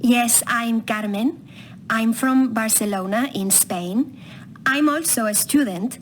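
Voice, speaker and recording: A woman's voice: a little high, slow pace, a little hiss or hum. A woman playing a student introducing herself in a scripted audio dialogue.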